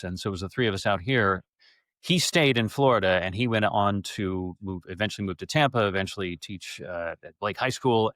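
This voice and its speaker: A man's voice: lowish voice, fairly bright tone, dry recording, studio quality. A middle-aged man telling stories casually as an interview guest, in a rambling, effusive way. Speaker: interview guest, stage actor. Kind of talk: casual conversational storytelling.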